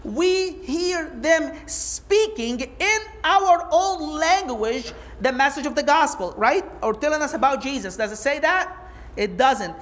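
High-voiced woman preaching emphatically. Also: measured pace. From Egypt. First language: Arabic. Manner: emphatic, animated preaching with rhetorical questions. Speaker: Bible teacher. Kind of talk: sermon-like teaching talk.

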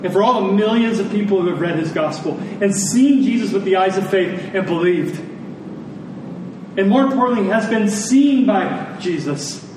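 A man's voice: high-pitched, darkish tone. A man preaching a sermon in an earnest, emphatic manner, repeating phrases for weight. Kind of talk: sermon, closing in prayer. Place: large echoing room, church service. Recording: echoey large room, distant, faint noise.

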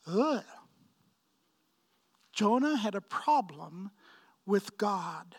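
A man in his fifties from Pakistan preaching a sermon, with emphatic, deliberate phrasing and frequent repetition. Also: high-pitched, big pitch swings, husky. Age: 50s. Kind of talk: sermon, expository preaching with personal anecdotes.

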